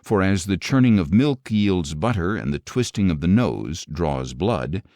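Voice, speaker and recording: A man with a very low voice reads scripture as a narrator. His delivery is measured and solemn, with deliberate phrasing and lively rises and falls in pitch. The sound is dry and close, with no room around it. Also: no room sound. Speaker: audiobook narrator.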